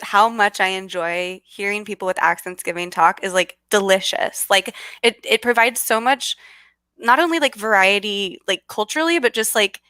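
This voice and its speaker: A woman's voice: medium pitch, moderate pace, pushed and bright, no room sound. A bubbly woman with a Californian American accent, chatting casually as a guest and often restarting her sentences. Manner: bubbly, many fillers and restarts. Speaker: guest speaker. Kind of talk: casual video call chat.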